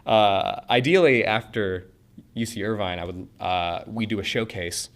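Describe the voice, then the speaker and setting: Low-voiced young man, earnest and rambling. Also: unhurried, a bit bright. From Portland, Oregon. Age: 20s. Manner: earnest, rambling with fillers and restarts. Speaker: interviewee, acting student. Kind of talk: casual interview answers.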